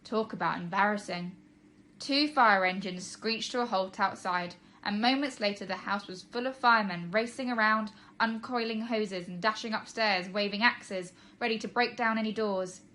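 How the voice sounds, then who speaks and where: Teacher lady reading a kids story out loud, bright crisp voice, medium pitch. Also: moderate pace. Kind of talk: animated children's storybook narration.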